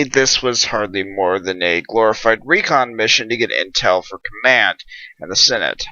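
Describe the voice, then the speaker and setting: Man as a forceful, earnest pegasus lieutenant. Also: on the low side, no echo. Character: nervous, eager young pegasus lieutenant telling their story. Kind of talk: first-person audiobook narration. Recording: dull lo-fi dry recording.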